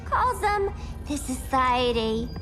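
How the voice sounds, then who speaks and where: High and swooping, a cheeky, madcap young villainess chatters playfully in a game cutscene. She drags out her slangy lines unhurriedly. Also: very animated, slow, fairly bright tone, exaggerated voice. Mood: perky, cheeky. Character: zany, cheeky reformed female villain, young adult. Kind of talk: video game cutscene acting. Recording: reverberant hall, music behind.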